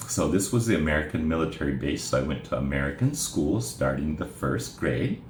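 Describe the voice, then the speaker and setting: A middle-aged man from Japan, a native Japanese speaker, with an extremely deep voice. He talks in a measured, unhurried way and often pauses on "uh". The recording has a roomy echo and a faint hiss. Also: sing-song. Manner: measured and plain, with frequent "uh" pauses. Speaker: presenter telling his life story. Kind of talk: informal spoken presentation.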